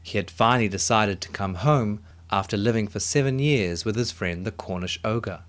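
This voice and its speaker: A man narrating a children's story in an expressive, dramatic way, with music behind him. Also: on the low side, moderate pace.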